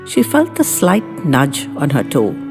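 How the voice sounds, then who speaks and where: A woman's voice: low voice, huge pitch swings, measured pace, gravelly. A woman reading a story as scripted audiobook narration, in a tender, dramatic storytelling delivery. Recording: long reverb with background music. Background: music.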